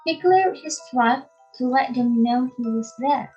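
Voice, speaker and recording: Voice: expressive, a little slow, darkish tone. A young adult reader giving a dramatic reading of a children's fantasy story. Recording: muffled low-fidelity recording with music behind.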